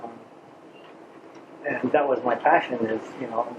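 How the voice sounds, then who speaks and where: A man talks about his restaurant at a mid pitch. He speaks haltingly, pausing and restarting often. The recording is slightly dull and lo-fi, with faint noise behind him. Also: steady tone. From Lebanon. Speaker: restaurant owner. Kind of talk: casual interview answers.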